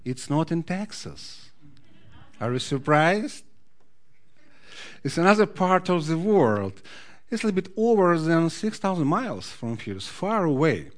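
A man's voice: a little high, sing-song, a little slow, pauses often. A man from Odessa with a Ukrainian accent, speaking as guest speaker to a congregation in a friendly way, often asking the audience questions. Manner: friendly, asks the audience questions, sings a short Hebrew song. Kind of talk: sermon talk to a congregation.

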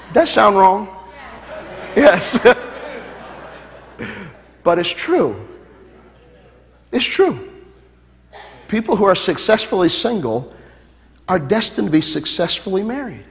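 High-voiced fervent preacher in a hall. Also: male voice, big pitch swings, slow, gravelly, long pauses, a little hiss or hum. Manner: builds to loud fervent preaching with repetition; jokes with the congregation. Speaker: pastor. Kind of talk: sermon. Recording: long hall reverb.

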